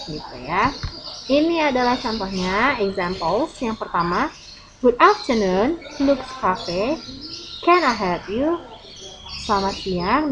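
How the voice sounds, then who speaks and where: A woman with an Indonesian accent, a native Indonesian speaker, teaches English informally in an online lesson, explaining examples and translating as she goes. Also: medium pitch. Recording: clearly noisy, with birdsong. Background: birds chirping, ambient noise.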